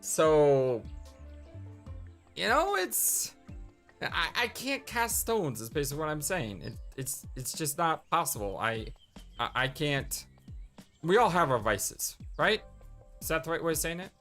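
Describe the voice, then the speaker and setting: A woman's voice: sits low, very animated, a little slow, pauses often, a bit bright, faint music behind. A woman hosting a let's play, chatting casually and reading game dialogue aloud between personal asides. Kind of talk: casual gameplay commentary.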